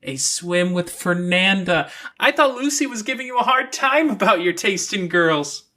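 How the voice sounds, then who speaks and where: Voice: mid-range voice, lively intonation, moderate pace, bright, forceful tone, voice pushed up. A narrator voicing a teasing, moody and blunt teenage girl in an audiobook. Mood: teasing, gleeful.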